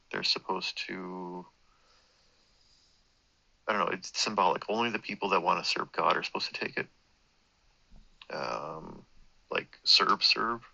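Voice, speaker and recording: A man's voice: deep voice, gravelly, sharp tone, highs cut off. A man with a sore throat telling a long personal story, meandering and correcting himself, swearing casually. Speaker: vlogger. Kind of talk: rambling personal monologue.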